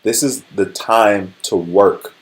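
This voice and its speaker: A man's voice: lowish voice, slow. A man in his forties hosting a livestream, chatting casually and conversationally, often checking in with his listeners. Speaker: livestream host. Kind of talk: casual motivational livestream chat.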